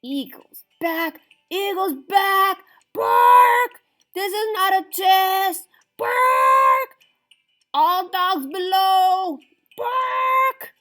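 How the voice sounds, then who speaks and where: Woman voicing a loud, boastful prairie dog. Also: very high-pitched, lively intonation, drawn-out words, soft background music, pitched above the performer's own voice, full character voice. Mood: barking alarm.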